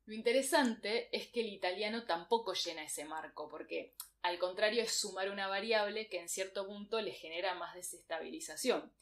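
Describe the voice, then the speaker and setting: A woman hosting a literature podcast, speaking in a measured, explanatory way and reading passages aloud. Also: mid-range voice. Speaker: podcast host. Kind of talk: reflective literary commentary.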